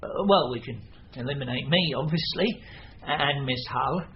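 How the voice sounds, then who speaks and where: An actor playing a fussy, cold British chiropodist in a radio drama, prim and somewhat hesitant. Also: male voice, medium pitch, moderate pace, lightly acted. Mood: hesitant, pedantic. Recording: muffled radio recording, faint noise.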